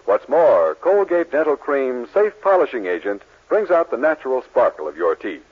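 A tinny vintage broadcast of a male announcer reading a 1940s advertisement. His delivery is polished and emphatic, with lively pitch and a slightly rough edge. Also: moderate pace.

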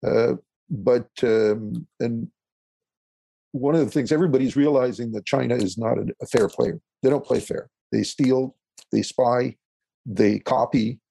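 Man from Eastern Ontario, French first language, gravelly, husky, dry sound, halting with fillers. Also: medium pitch, mellow, no echo. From Eastern Ontario, Canada. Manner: halting, many fillers and restarts. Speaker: guest, consultant. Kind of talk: conversational interview answers.

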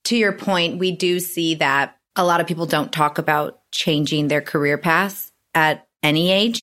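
A woman's voice: low-pitched, normal speed, a bit bright. A woman hosting a podcast, giving a friendly welcome and asking chatty questions. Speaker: podcast host.

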